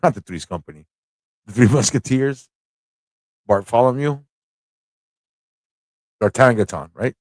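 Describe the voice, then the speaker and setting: A man's voice: medium pitch, expressive, a little slow, long pauses, a little mellow, no room sound. A man from the United States hosting a live trivia quiz, chatting casually with viewers and repeating questions as he reads them out. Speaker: livestream host running a trivia quiz.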